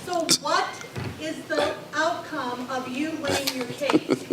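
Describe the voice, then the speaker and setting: A high-voiced woman calls out an insistent question from the audience. She is far from the mic in an echoey, noisy meeting room. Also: slow. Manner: pushy, insistent. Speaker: audience member asking a question. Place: meeting hall, away from the mic. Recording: distant echoey room, loud noise.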